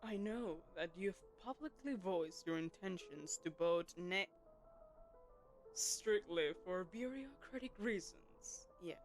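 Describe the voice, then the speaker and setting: A woman's voice: on the low side, expressive, slow, faint music behind. A woman voicing a poised, commanding telepathic queen who hosts a lavish gala, speaking to the listener in a silken, condescending, persuasive way. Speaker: voice actress. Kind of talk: audio roleplay, spoken to the listener.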